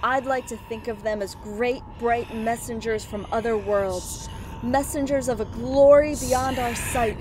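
Woman performing dreamy timid heroine, high voice, unhurried, breathless melodrama, retro radio play. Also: steady tone, noisy background, lightly acted. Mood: dreamy wonder. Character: dreamy, timid, awestruck sweetheart of a stargazer. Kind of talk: retro 1930s radio drama acting.

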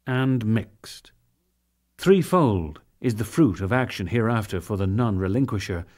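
Guy doing a dramatic scripture reading, really slow and solemn, lots of up and down in his pitch, formal delivery. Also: mellow. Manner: solemn, measured, formal declamation. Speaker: narrator.

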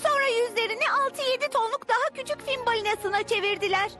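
Extremely high-pitched earnest little child. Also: female voice, fast talker. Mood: earnest, grave. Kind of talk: dubbed educational cartoon narration. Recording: loud music behind the voice.

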